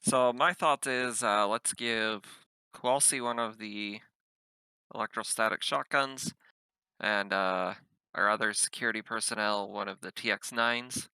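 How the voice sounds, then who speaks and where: Male tabletop player, low, crisp and piercingly bright, casually plans aloud. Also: a little slow, no room sound, poor audio. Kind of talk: casual game-table chat.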